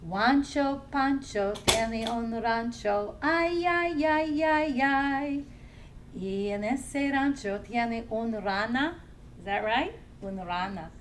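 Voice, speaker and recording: A woman children's librarian leading a family storytime, singing nursery songs in English and simple Spanish and gently encouraging young children to join in. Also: on the high side, animated, slow, a little hiss or hum. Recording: somewhat distant from the mic, faint music.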